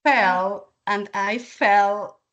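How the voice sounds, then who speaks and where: A woman from Switzerland, a guest telling her personal story in an unhurried way, with laughs and fillers. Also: medium pitch, fairly even intonation, fairly bright tone, highs cut off.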